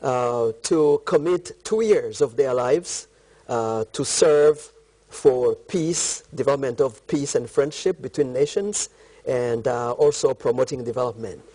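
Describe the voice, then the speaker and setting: Mellow middle-aged man with a Congolese accent. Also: mid-range voice, unhurried, rough recording. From eastern Congo. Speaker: interview guest, country director of a volunteer organisation. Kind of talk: measured interview answers.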